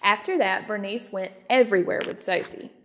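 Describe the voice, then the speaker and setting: A woman narrating a children's storybook aloud, expressive and clearly phrased. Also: medium pitch, normal speed, in a large hall. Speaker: storybook reader.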